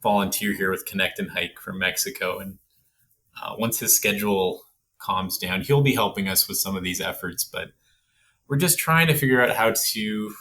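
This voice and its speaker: Man from Canada, podcast host, rambling and goofy, low and forceful tone. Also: normal speed, a little hiss or hum. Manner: goofy, rambling, laughs often. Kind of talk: casual interview.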